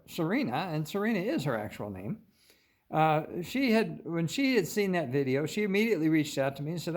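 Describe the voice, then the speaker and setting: An older American man with a slightly high voice for a man talks casually to his viewers. He tells his story in a folksy, rambling way, stopping often mid-sentence before picking up the thread again. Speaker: host of a paranormal viewer-story channel.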